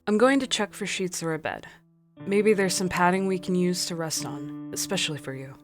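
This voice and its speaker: A stern female captain of alien explorers, played by a woman in a radio drama. She is curt and practical, on the low side, with an exasperated edge over soft music. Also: normal speed, natural voice. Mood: weary, practical.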